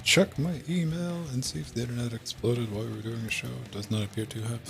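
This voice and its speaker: A man's voice: medium pitch, steady tone, fast talker, gravelly, a bit bright, faint music behind. A man hosting a podcast, rambling casually with joking asides. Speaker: podcast host.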